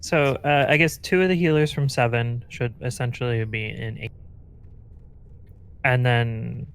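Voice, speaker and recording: A man helping assign groups in casual gaming voice chat, halting as he works through logistics aloud. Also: medium pitch, fairly even intonation, smooth. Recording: faint noise on gaming voice chat.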